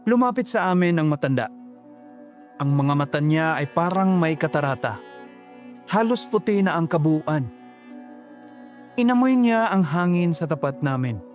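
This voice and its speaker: A man tells a first-person horror story in a slow, ominous, reflective voice, pitched a little high. Faint music runs under his narration. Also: expressive.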